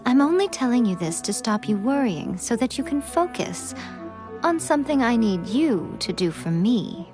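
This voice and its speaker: An actress playing a teasing, elegant, centuries-old fox shrine maiden in a video game, sly, languid and condescending. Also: female voice, fairly high pitch, big pitch swings, normal speed. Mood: calm, explanatory. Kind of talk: video game character dialogue. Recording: game music behind the voice.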